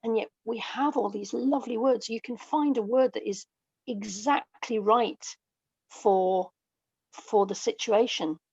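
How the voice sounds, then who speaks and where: Creaky-voiced English woman in conversation. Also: on the high side, unhurried, darkish tone, dry recording, highs cut off. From England. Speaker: guest, writer and audio dramatist. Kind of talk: casual interview conversation.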